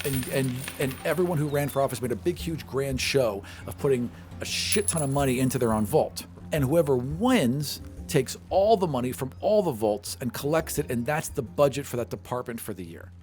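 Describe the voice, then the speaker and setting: A man's voice: medium pitch, darkish tone. A man narrating and explaining a tabletop game as game master.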